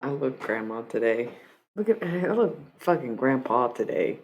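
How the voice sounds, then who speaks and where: A woman's voice: very deep voice, sing-song, moderate pace, a little mellow, muffled recording. A young woman from Michigan chatting casually on a live stream, joking about herself and breaking into rap lines. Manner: joking, self-mocking, freestyles rap lines.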